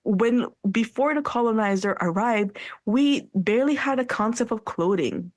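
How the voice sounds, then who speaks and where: A woman whose first language is Tagalog, co-hosting a podcast in animated, thoughtful casual chat, with many fillers and restarts and slips into French. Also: fairly high pitch, moderate pace, no echo, clean studio sound. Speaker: podcast co-host.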